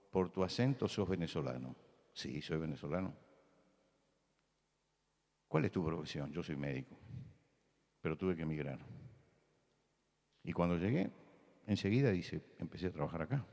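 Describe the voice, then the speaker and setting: A man from Buenos Aires with a Rioplatense accent, preaching a sermon full of anecdotes, who repeats key words and rises to loud insistence. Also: deep voice, in a large hall. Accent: Rioplatense Spanish. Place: church hall.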